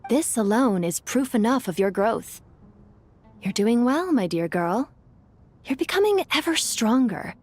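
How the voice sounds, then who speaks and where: A woman voicing a haughty, wise, ancient desert being in a video game, with formal, measured, imperious speech. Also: fairly high pitch, expressive, a little mellow, faint music behind. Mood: knowing, approving.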